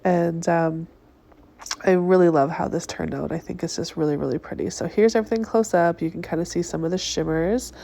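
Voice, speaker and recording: A woman's voice: fairly low pitch, fairly quick, creaky, dark tone. A woman nail artist with a stuffed-up nose, chatting through a casual voice-over review of nail polish colors, with many ums and likes. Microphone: close voice-over mic.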